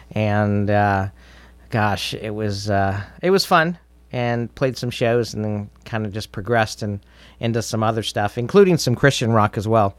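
A middle-aged man chatting casually as an interview guest, telling stories in a halting, easygoing way. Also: on the low side, no echo. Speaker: interview guest, drummer in a band.

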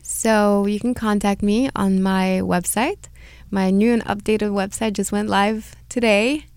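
A woman's voice: medium pitch, fairly even intonation, unhurried, darkish tone, clean tone, no room sound. A woman with a Hungarian Romanian accent, a holistic healer talking as a guest in a radio interview, earnest and encouraging, with small repeats.

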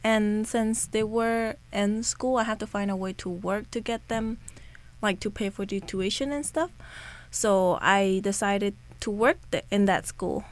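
Young female interview guest, mid-range pitch, informal and a bit hesitant, conversational answers. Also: steady tone, a little slow. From Cambodia. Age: young adult. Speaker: student guest being interviewed. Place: radio studio.

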